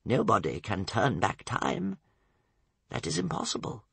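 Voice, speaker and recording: A man voicing a grave, wise storybook advisor, solemn, admonishing and gently scolding. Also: mid-range voice, wildly swooping pitch, a little slow, creaky, husky, no room sound, pitched above the performer's own voice, full character voice. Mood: stern warning. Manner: solemn, admonishing, rhetorical repetition.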